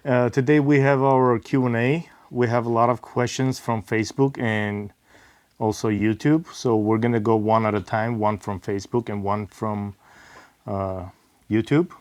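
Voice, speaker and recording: A middle-aged man hosting a casual Q&A, reading viewer questions aloud in a plain, easygoing way with frequent pauses. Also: mid-range voice, steady tone, darkish tone, dry recording. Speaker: host, father of the bassist.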